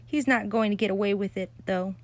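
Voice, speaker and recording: A woman's voice: mid-range voice, fairly quick, close to an everyday voice. A woman voicing a bossy, competitive little girl who scolds and calls out. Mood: scornful.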